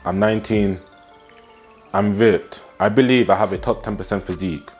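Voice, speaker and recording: A man's voice: lowish voice, moderate pace, a little mellow, faint music behind. A nineteen-year-old man, talking straight to camera with blunt, slangy motivation and repeated catchphrases, filmed in a small room at home. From England. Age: teens. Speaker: fitness and self-improvement vlogger.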